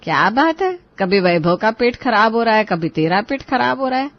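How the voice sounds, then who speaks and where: A woman's voice: on the high side, big pitch swings, normal speed, no room sound, lo-fi sound. A woman voicing a scolding, protective older mother-in-law in a Hindi cartoon, questioning her family sharply. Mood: suspicious scolding.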